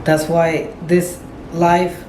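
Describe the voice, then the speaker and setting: A woman's voice: lowish voice, little pitch movement, extremely slow, a little mellow. A middle-aged woman giving casual, rambling commentary, thinking aloud as she repeats and rewords herself. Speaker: vlogger paying homage to a pop singer. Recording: echoey room with faint background noise.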